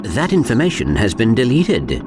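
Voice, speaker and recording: Small polite robot, very expressive. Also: male voice, medium pitch, moderate pace, music in the background. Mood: matter-of-fact. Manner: formal, precise, proper. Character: small polite male robot sidekick, precise and earnest. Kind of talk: video game cutscene voice acting.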